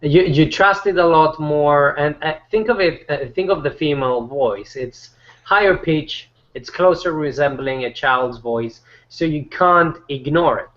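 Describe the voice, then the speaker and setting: A man talking casually in a group chat, rambling with many fillers and restarts; he says his voice is not deep. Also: measured pace, fairly bright tone, lo-fi sound.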